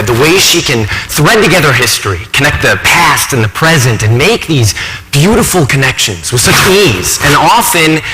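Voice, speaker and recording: A man performing a dramatic stage monologue as an earnest, anxious thirty-year-old college professor, confiding in halting, emphatic asides. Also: mid-range voice, pushed and bright, heavily acted. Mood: admiring. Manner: conversational asides, halting, emphatic, self-questioning. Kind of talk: dramatic monologue for speech competition. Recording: echoey hall, faint background noise.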